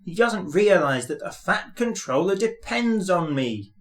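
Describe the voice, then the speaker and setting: A man voicing a proud, impatient little male tank engine in a children's storybook, brisk and bossy. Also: high-pitched, big pitch swings, pitched above the performer's own voice. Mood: cross.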